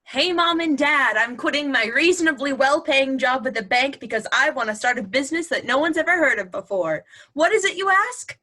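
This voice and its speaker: A woman's voice: high-pitched, expressive, a little fast, few pauses, bright, forceful tone. A woman playing a snarky, teasing wife in a conversational comedy play reading, full of sarcasm. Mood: sarcastic. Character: snarky, joking wife of the anxious son.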